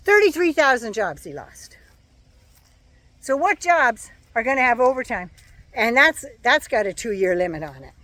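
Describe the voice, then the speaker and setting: A woman giving a sarcastic, profane political rant as a vlogger, her voice going hoarse. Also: fairly high pitch, sing-song, normal speed, sharp tone, no room sound. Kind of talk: casual ranting monologue.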